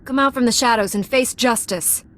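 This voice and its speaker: An actress playing a calm, earnest, determined heroine knight in a video game. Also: female voice, a little high, normal speed, natural voice. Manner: composed, resolute. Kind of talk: video game cutscene acting.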